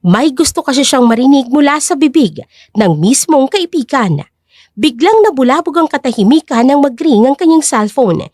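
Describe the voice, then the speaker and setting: High, animated female story narrator. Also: normal speed, dry recording, studio quality. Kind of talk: dramatic scripted story narration.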